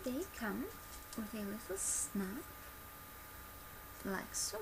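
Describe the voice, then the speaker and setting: A woman in her twenties speaking softly and quietly in a gentle, instructional ASMR demonstration, recorded at home. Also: medium pitch, very animated, slow. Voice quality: soft, quiet. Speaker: ASMR creator playing a customer service presenter. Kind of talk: soft-spoken ASMR product demonstration. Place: small bedroom, indoors. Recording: noisy amateur home webcam recording. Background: clear background noise.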